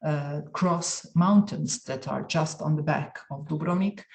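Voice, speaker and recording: A woman in her forties from Croatia talks in a casual interview. Her voice sits low, and she often stops to search for the right word. The room around her is echoey. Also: unhurried, gravelly, a little mellow. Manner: searching for words, frequent fillers. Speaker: guest.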